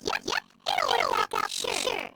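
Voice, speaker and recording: A woman voicing a tiny, excitable, childlike female fairy companion in an anime game, squeaky and quick to exclaim and stammer. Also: heavily acted. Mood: cheerful. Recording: loud music behind the voice.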